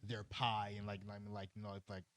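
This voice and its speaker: A man's voice: on the low side, flat delivery, a little fast, crowd noise. A man hosting a podcast, asking long, loose questions in a casual chat, often checking in with "right?" and "man". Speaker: podcast host.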